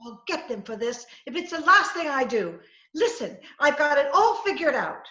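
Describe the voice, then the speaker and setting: A woman's voice: sits high, normal speed, heavily acted. A woman acting a plucky, headstrong, scatterbrained lady reporter in a 1940s-style radio comedy, bossy and theatrical. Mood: determined, eager. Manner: bossy, excitable, theatrical delivery. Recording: roomy, muffled, faintly noisy video-call recording.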